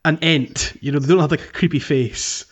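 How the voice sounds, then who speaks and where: A man co-hosting a casual video game podcast, talking in an animated way and repeating phrases for emphasis. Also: fairly high pitch, normal speed, breathy edge. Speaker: podcast co-host.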